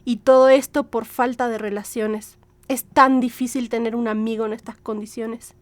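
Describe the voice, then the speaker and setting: A woman's voice: on the high side, level tone, moderate pace, no echo, lightly acted. A woman reading a fawning, ailing old mother. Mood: plaintive. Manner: ingratiating, pleading, dramatic exclamations. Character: aging, ailing, scheming mother, fawning and wheedling. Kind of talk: audiobook drama reading.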